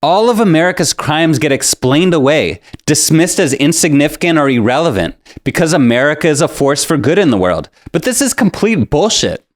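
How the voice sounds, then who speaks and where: A man's voice: fairly high pitch, sing-song, moderate pace, few pauses. A man co-hosting a political show, delivering scripted commentary in an emphatic, polemical manner.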